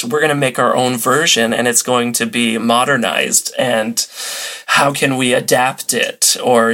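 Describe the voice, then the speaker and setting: A man speaks as an interview guest. His voice sits at a middle pitch, and his delivery is casual and conversational. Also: sharp tone. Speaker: podcast guest, old-time radio podcaster.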